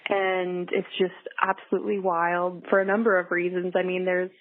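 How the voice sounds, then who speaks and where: A woman's voice: fairly low pitch, level tone, moderate pace, no echo. A young adult woman talking casually as a podcast guest, chatty with many fillers and restarts. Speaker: guest pilot. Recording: dry, tinny phone-like line.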